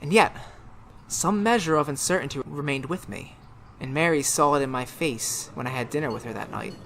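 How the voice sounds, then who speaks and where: A woman playing a tormented, haunted man in a 1930s-style radio horror drama, speaking melodramatically with halting, emphatic repetitions. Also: low voice, creaky, slight background noise, low-quality recording. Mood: uneasy reasoning.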